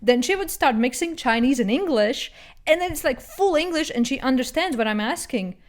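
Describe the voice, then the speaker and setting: Halting, word-searching woman podcaster. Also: sits high, lively intonation. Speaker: podcast co-host. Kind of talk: casual chat.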